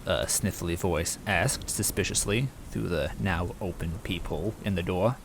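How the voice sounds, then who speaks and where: A man reading a scripted comic story as narrator, with wry, deadpan asides. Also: sits low, moderate pace, vocal fry. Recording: rain noise and game music behind.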